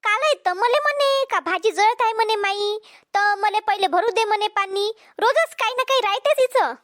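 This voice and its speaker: A woman voicing a gossipy, meddling cartoon village woman with a Vidarbha Marathi accent, tattling and egging others on in a pitched-up voice. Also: normal speed, fairly bright tone. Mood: complaining. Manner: tattling, taking sides. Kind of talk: cartoon comedy dialogue.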